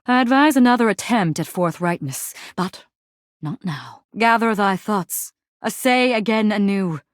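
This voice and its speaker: A woman playing a proud, brooding, war-weary commander in a video game, speaking in archaic, poetic lines with solemn pauses and heavy melodrama. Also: medium pitch, dry recording, studio quality, lightly acted. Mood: cold, unamused. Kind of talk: dramatic video game character voice acting.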